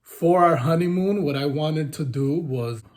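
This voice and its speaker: Young man, fairly high-pitched, casual teasing co-host. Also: a little slow, darkish tone. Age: young adult. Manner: teasing, reads questions aloud. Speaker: vlogger, co-host. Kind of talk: casual couple Q&A chat.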